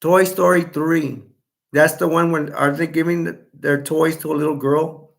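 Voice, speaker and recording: A man hosting a casual live stream, chatting loosely with viewers and reading their comments aloud, his voice starting to go hoarse. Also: on the high side, steady tone, moderate pace. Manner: rambling, self-correcting, chatty. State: voice going hoarse.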